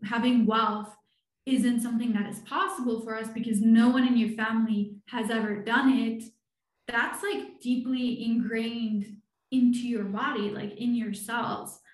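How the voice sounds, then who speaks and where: Casting: bright-voiced woman coach, slightly high pitch, breezy, roomy echo. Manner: breezy, upbeat, filler-heavy. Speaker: manifestation coach, guest. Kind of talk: conversational interview.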